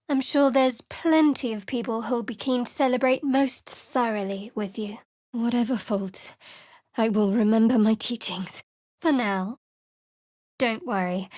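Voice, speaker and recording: Woman playing a guarded, higher-voiced dark cleric. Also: dry recording, studio quality, close to an everyday voice. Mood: solemn, devout. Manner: measured, wry, secretive. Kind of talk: video game character voice lines.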